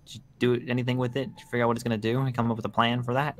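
A man's voice: medium pitch, little pitch movement, very fast, a bit bright, lightly acted. A man playing a practical, skeptical survivor in an improvised Minecraft roleplay, talking in a hesitant, questioning way. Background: background music.

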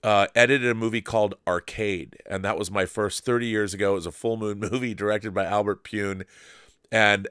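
A man's voice: lowish voice, steady tone, moderate pace, fairly bright tone, clear, resonant tone, dry recording. An older man hosting an interview and talking reflectively in a home studio, using a large studio mic. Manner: reflective, rambling. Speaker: host. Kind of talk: conversational interview. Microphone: large studio mic with headphones.

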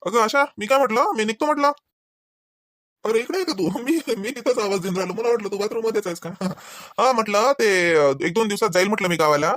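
Man acting easygoing, higher-pitched cartoon husband. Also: brisk, fairly bright tone, no echo. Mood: casual. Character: easygoing family man, casual, agreeable husband. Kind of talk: conversational cartoon dialogue.

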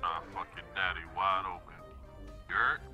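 Brief: low-voiced man, animated, crude taunts over voice chat, game music loud. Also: normal speed, muffled recording. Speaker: online teammate. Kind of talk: trash-talking game chat.

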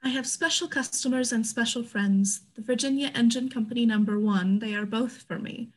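Higher-voiced proud frontier woman, read by a woman. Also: fairly even intonation, moderate pace, lightly acted, poor audio. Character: businesslike, proud frontier woman in stage drama. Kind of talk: staged play reading over video call.

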